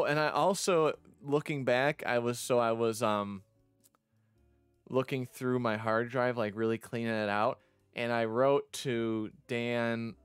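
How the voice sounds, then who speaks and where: A man's voice: mid-range voice, smooth, no echo. Casual man streaming, dry close sound over music. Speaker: vtuber streamer showing their own visual novel. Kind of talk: casual commentary and read-aloud of a visual novel. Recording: music under the voice.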